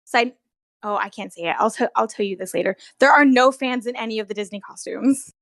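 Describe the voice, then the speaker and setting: A woman telling work stories as a guest in a casual, animated, giggly chat with some swearing, on an amateur recording. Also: a little high, fairly quick, creaky, no room sound. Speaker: guest, costumed theme park character performer. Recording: amateur recording with bad audio.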